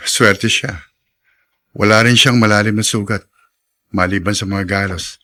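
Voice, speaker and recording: A fairly low-voiced Filipino village doctor, earnest and firm. He speaks in measured radio drama style with a strong sense of duty. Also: fairly even intonation, pushed and bright. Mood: firm, composed. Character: dutiful, firm, composed male village doctor.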